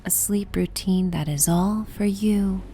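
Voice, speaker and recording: A woman narrating a soothing sleep meditation and bedtime story in a gentle, soft, half-whispered, breathy voice. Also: mid-range voice, unhurried. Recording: steady ocean and wind ambience noise. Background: ocean and wind ambience.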